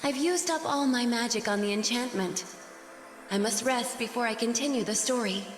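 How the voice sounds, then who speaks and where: A woman's voice: on the high side, normal speed, close to an everyday voice. A woman voicing a solemn magical spirit who narrates a tale in a fantasy game, with echoing reverb on her voice. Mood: weary. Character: solemn magical female storytelling spirit of an enchanted amulet. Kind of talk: scripted storybook narration. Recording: long echoing reverb over music.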